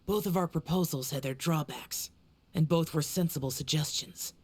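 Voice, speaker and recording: A woman voicing a solemn young male warrior guardian in a video game, speaking in a grave, measured, weary way. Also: sits low, darkish tone, breathy edge.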